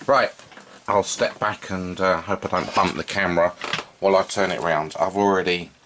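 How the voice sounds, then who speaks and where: A man giving an unscripted, informal hands-on demonstration, thinking aloud in a halting way as he works as a hobbyist repairer. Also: deep voice, steady tone, background noise. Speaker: vintage radio repair hobbyist and video presenter.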